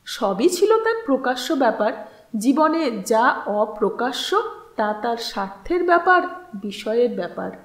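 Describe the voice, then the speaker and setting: Female audiobook narrator, high-pitched and unhurried, expressive deliberate phrasing, echoey room. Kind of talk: expressive literary story narration. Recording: roomy, echoing voice recording.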